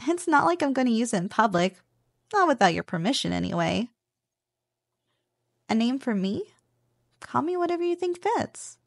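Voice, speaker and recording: A woman playing a playful, possessive but affectionate slime girl roommate in an ASMR roleplay, speaking softly and breathily with a teasing manner. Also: on the high side, sing-song, gravelly, no room sound, clean studio sound, heavily acted. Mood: affectionate, casual. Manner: playful, teasing, slow and savoring.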